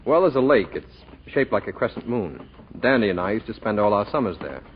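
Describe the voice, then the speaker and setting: Friendly american writer guy, lowish voice, very animated, direct, noisy old radio show. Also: a little fast, narrow, tinny audio. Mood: easygoing. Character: affable, plain-spoken bachelor writer, smitten and curious. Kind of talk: 1940s radio drama acting.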